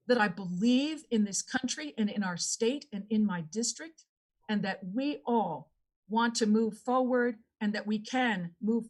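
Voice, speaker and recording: A woman answering as a candidate in a debate, making earnest, repetitive appeals to voters. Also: medium pitch.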